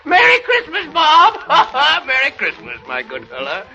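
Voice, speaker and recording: An actor playing a reformed old miser, giddy with joy and exuberantly exclaiming in a 1930s radio drama. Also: male voice, extremely high pitch, wildly swooping pitch, measured pace, creaky, bright, forceful tone, exaggerated voice. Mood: giddy, jubilant. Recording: dull vintage 1930s radio recording.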